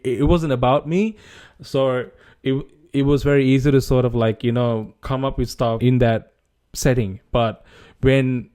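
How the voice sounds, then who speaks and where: A young man from Sri Lanka speaks to camera in an easygoing vlog, his Sri Lankan accent plain and his voice mellow and dark. His pitch sits in the middle, and he often stops, restarts and adds fillers as he goes. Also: clear, resonant tone. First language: Sinhala. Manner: hesitant, many restarts and fillers. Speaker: gaming channel creator. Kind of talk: casual vlog talk to camera.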